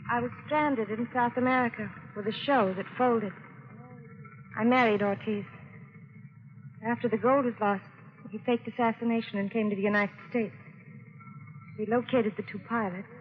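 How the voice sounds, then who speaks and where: A woman's voice: fairly high pitch, fairly even intonation, normal speed, long pauses, slight background noise, close to an everyday voice. A young woman with a secret, played by a radio actress, guarded and regretful in an earnest, melodramatic way. Her voice carries long reverb on a slightly muffled old radio recording. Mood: resigned confessing. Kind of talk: 1940s-50s radio drama acting.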